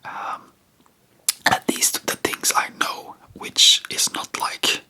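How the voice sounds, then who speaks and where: A person whispering in an informal, rambling ASMR style, breathy and unvoiced, chatting about history close to the microphone. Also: slow, sharp tone. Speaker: host of a history ASMR channel.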